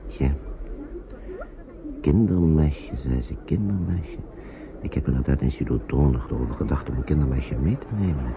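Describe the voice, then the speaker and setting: An actor playing a worried, kindly uncle who hesitates and repeats himself in an old radio play. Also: male voice, very deep voice, expressive, normal speed, long pauses. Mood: worried, brooding. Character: worried kindly man, an uncle minding children. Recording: dull noisy old radio recording.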